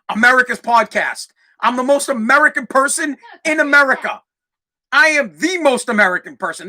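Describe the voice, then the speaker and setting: A young man with a Boston accent hosting his own podcast, ranting loudly and profanely as he talks straight to his listeners. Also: very high-pitched. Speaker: podcast host. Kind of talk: solo podcast rant.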